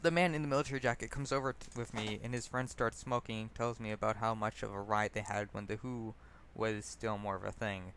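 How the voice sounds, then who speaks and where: A man's voice: fairly low pitch, steady tone, brisk, hardly any pauses, fairly bright tone, a little hiss or hum. A man reading his own personal essay aloud as narrator, stumbling over words and correcting himself. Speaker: narrator and author.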